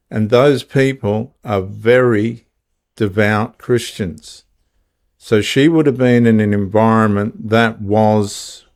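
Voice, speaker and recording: A man hosting the show, with a low voice that stays flat and even. He reads a scripted opening, then keeps interrupting his guest to argue his own points. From Australia. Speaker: host.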